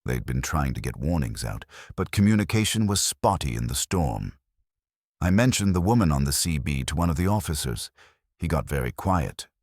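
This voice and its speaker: Male horror narrator, extremely low voice with lively intonation, dramatic and suspenseful scripted storytelling. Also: moderate pace, dry recording, clean studio sound. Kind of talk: scripted first-person narration of trucker horror tales.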